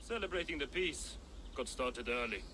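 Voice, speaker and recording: Laconic Viking villager, noisy surroundings. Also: male voice, medium pitch, expressive, normal speed, pushed and bright. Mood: dry, matter-of-fact. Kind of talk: video game voice acting.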